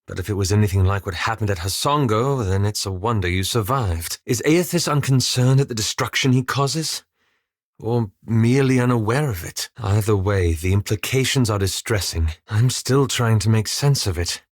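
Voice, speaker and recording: An actor playing a reserved, scholarly male fantasy adventurer in a video game, speaking formally and articulately with measured, wry understatement. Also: on the low side, no echo, clean studio sound, lightly acted. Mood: concerned, grave. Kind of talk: scripted video game character dialogue.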